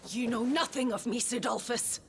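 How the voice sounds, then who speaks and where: A woman playing a proud, seductive noblewoman in a video game, speaking in a haughty, breathy, theatrical way. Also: a little high, normal speed, slight background noise, close to an everyday voice. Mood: indignant, scornful. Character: proud, seductive noblewoman devoted to her liege, bitter and wounded. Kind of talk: dramatic video game acting.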